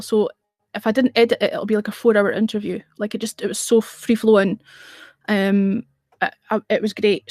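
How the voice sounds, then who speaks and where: A woman's voice: medium pitch, darkish tone, no room sound, studio quality. A middle-aged woman hosting a casual livestream, rambling and chatting in reply to viewer comments. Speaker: radio show host on a livestream.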